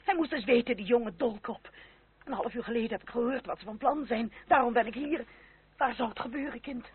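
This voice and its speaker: A woman's voice: fairly high pitch, fairly quick, vocal fry, husky, narrow, tinny audio, full character voice. An actress playing an anxious, commanding aristocratic lady in an old radio play. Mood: urgent, fearful. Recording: old radio recording, thin and noisy.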